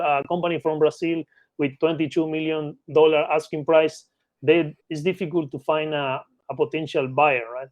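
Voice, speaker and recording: Male interview guest, Argentine Spanish accent, slightly higher-pitched, close dry sound, conversational expert explaining with frequent check-in tags. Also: darkish tone, no room sound. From Patagonia, Argentina. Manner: repeatedly checks in with short tag questions. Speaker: interview guest, mergers and acquisitions consultant.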